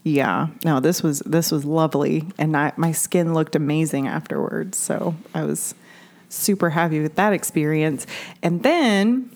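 A woman's voice: low voice, unhurried, darkish tone. An American woman in her forties chatting casually and candidly as a co-host, with some swearing. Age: 40s. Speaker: podcast co-host. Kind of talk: casual chat.

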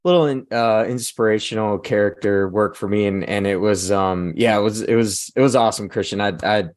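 A man with a lowish voice speaks on a casual roundtable podcast. The sound is dry, with very little room. He talks haltingly and repeats himself as he goes, earnest and full of appreciation. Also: fairly even intonation, dry recording. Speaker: podcast panelist and amateur actor.

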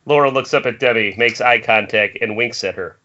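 Bright-voiced man narrating a script. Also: medium pitch, a little fast. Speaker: narrator reading stage directions. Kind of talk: scripted narration at an amateur table read. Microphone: remote call from home. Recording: muffled internet call audio.

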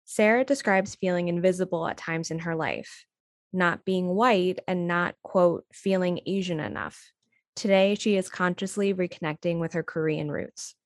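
A woman's voice: on the low side, fairly even intonation, normal speed, no echo, studio quality. A woman narrating from a script in a documentary style, introducing personal stories.

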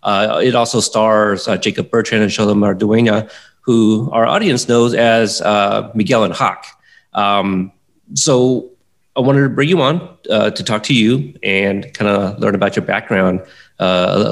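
A man's voice: lowish voice, a little slow. A man in his late thirties hosting a casual interview, asking friendly, rambling questions. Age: 30s. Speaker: podcast host.

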